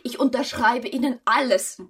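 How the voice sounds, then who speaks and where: A woman's voice: fairly high pitch, moderate pace, fairly bright tone, breathy edge, distant mic. An actress playing a proud, indebted married woman in a radio drama, pleading urgently and theatrically. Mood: desperate, pleading.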